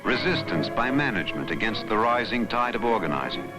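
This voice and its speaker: A man's voice: lowish voice, big pitch swings, brisk. A man with an American accent reads scripted documentary narration in a dramatic, emphatic newsreel style. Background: background music.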